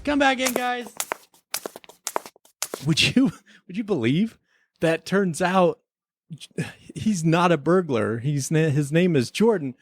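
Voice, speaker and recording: A man's voice: sits high, animated, slow, pauses often. A man hosting a podcast, chatting casually about TV episodes with a wry, mock-earnest manner and some playful skit delivery. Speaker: podcast host. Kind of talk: casual episode review chat mixed with playful time-travel skit.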